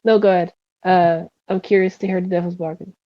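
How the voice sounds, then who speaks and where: A woman playing a tabletop game, improvising in a halting way as she searches for her words. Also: on the low side, little pitch movement, highs cut off. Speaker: player in a tabletop roleplaying game. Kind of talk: improvised narration of her character.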